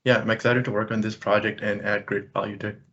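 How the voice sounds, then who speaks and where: A young man from Pakistan with a fairly low voice gives a short, polite introduction. He is on a muffled, dull video call line. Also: level tone, quick pace, fairly bright tone. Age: 20s. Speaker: student intern.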